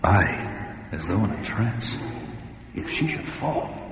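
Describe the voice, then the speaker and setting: An actor playing a bold, wry barbarian warrior in a fantasy radio drama, speaking in archaic, dramatic phrases. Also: male voice, lowish voice, big pitch swings, takes it slowly. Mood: wary. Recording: dull radio recording, heavy cavern echo, music.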